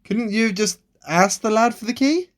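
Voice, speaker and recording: A man's voice: sits high, normal speed, close to an everyday voice. A man playing an insistent amateur sleuth in an amateur radio play, earnest and challenging. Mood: puzzled. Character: dogged amateur sleuth confronting a suspect, insistent. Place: recorded alone at home, indoors.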